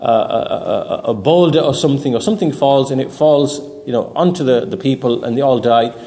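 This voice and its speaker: A man's voice: fairly high pitch, warm tone. A man giving an explanatory religious lecture as a teacher, didactic, repeating phrases for emphasis and checking in with the listener. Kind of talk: explanatory Islamic lecture, partly off the cuff. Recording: long hall-like reverb.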